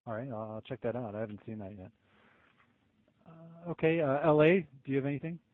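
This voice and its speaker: Fast-talking American man on a phone line. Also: medium pitch, animated, no room sound. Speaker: law enforcement agent leading the call. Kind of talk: businesslike conference call. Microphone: telephone. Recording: tinny narrow-band phone conference line.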